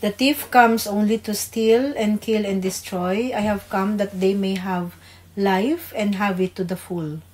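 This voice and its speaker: A woman's voice: mid-range voice, fairly even intonation, takes it slowly, a little mellow, low-quality recording. A woman with a Filipino accent co-hosting a devotional radio program, reading scripture and praying aloud. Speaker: co-host, pastor's wife leading scripture and prayer. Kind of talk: scripture reading and extempore prayer.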